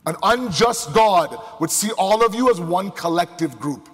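High-voiced male preacher in a reverberant hall. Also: expressive, unhurried, husky. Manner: emphatic, with rhetorical questions and repetition. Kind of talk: sermon to a live congregation. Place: large indoor hall before a crowd. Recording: echoey hall with long reverb.

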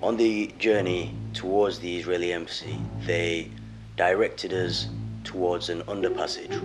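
Deep-voiced young man recounting events. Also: fairly even intonation. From England. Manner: earnest, pauses with frequent ums. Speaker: interviewee, former protester. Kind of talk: documentary interview testimony. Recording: music bed underneath.

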